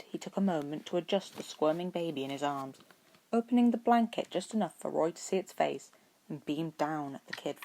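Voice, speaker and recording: A woman's voice: sits low, a little mellow, slight background noise, poor audio. A woman reading scripted fiction aloud as an amateur audiobook narrator.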